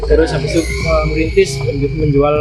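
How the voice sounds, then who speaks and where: Male podcast interviewer, high-pitched, casual and politely hesitant, very loud music and vehicle noise. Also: flat delivery, a little fast.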